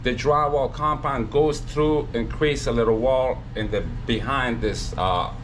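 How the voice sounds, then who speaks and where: A man's voice: medium pitch, a little slow, noisy background. A man in his fifties, originally from Afghanistan, explaining a drywall repair step by step in a plain way with frequent fillers, in a small bare room. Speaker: drywall painter. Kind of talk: hands-on repair demonstration.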